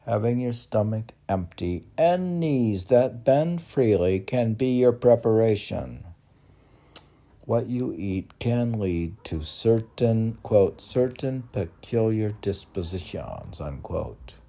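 An older man reads his poems in a deep voice with a gravelly creak. He moves haltingly through the lines, in a poetry recital that keeps a casual, conversational edge. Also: big pitch swings, mellow. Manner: halting, spells out and repeats words. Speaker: poet reading own work. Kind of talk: poetry reading with casual asides.

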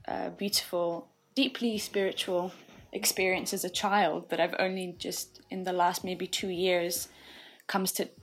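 A young woman, a podcast guest, talking thoughtfully in casual conversation with frequent fillers and soft laughs. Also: fairly low pitch, flat delivery, a little slow. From England.

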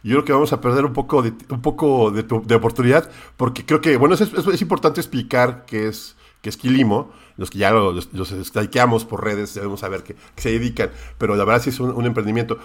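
Male podcast host, mid-pitched, halting with frequent restarts. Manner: hesitant, many restarts. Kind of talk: podcast interview.

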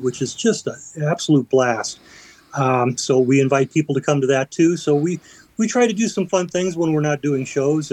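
A man's voice: fairly high pitch, a little mellow, dry recording. A man, vice president of a community theater group, talking about upcoming events as a radio guest, a little hesitant. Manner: hesitant, upbeat promotional.

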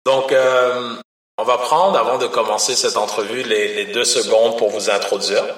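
A man interviewing guests in a playful way, with quick reactions. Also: mid-range voice, steady tone, fairly bright tone. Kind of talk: casual interview. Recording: echoey room, voice from a distance.

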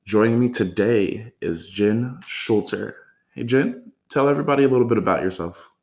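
A man's voice: on the low side, moderate pace. A man hosting a podcast, talking casually and filling his speech with likes. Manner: friendly, filler-heavy, says like a lot. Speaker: podcast host.

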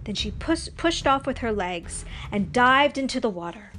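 A woman's voice: on the high side, lively intonation, normal speed, a bit bright, soft background music, poor audio. Woman reading a children's storybook. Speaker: storybook reader. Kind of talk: scripted children's story narration.

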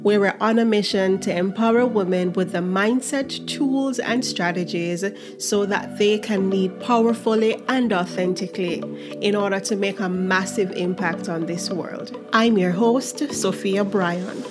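A woman's voice: mid-range voice, measured pace, music in the background. A woman hosting a podcast, reading an enthusiastic scripted introduction and then asking her guest questions. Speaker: podcast host.

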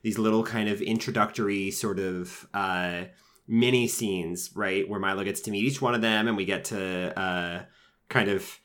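Man, low-pitched voice, casual podcast talk, conversational, fillers and restarts. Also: fairly even intonation, normal speed, fairly bright tone. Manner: conversational, frequent fillers and restarts, mock voices when quoting characters. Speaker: film reviewer.

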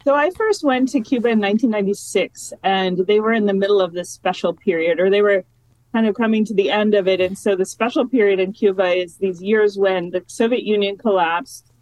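A woman geography professor talking as an interview guest, chatty and relaxed, with lots of fillers and restarts. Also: medium pitch, brisk, no echo, low-quality recording. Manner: chatty, many fillers and restarts, laughs easily. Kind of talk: casual academic interview.

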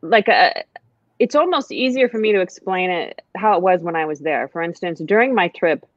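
An American woman speaks as a guest, thoughtful and halting, full of restarts as she searches for words. Her voice sits a little low. The recording is dry and close but somewhat dull, like a muffled audio track. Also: lively intonation, no echo. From United States. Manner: reflective, hesitant with many fillers. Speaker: guest, writer. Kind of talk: casual conversation.

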